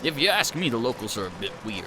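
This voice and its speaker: A man's voice: mid-range voice, big pitch swings, fast talker, noisy background, pitched below the performer's own voice, close to an everyday voice. A man voicing a cheerful, folksy male hobo with an easygoing country drawl.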